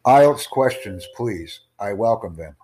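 A man hosting an exam-prep podcast, teaching in a slow, formal, deliberate way and asking rhetorical questions. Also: lowish voice, expressive, warm tone, slightly rough. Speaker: IELTS tutor and podcast host.

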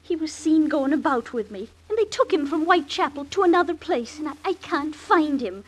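A woman's voice: high voice, expressive, a little fast. A woman playing an emotional, girlish poor young woman of Victorian London in an old-time radio drama. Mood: distraught.

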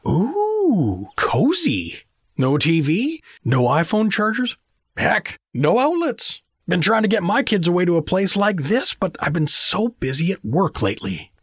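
A man's voice: high-pitched, huge pitch swings, a little slow, husky, no echo, studio quality, heavily acted. A man playing a chatty, oblivious middle-aged American dad who makes affable, corny small talk in an audio fantasy comedy. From rural South Dakota.

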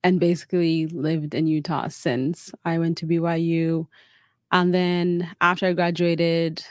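A woman's voice: on the low side, unhurried, no room sound, studio quality. A young woman from South Sudan, a podcast guest, talking casually and telling her life story with many fillers. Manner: relaxed, storytelling, frequent "like" fillers. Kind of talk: casual interview chat.

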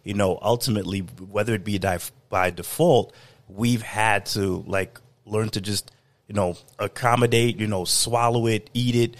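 A man in a casual discussion who talks in a measured, reflective way, full of "you know" fillers. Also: lowish voice, fairly even intonation.

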